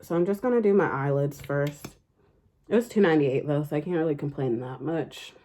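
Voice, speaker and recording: A young adult woman chatting casually through a makeup tutorial in a fairly deep voice, rambling with lots of fillers. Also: fairly quick, warm tone. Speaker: beauty vlogger.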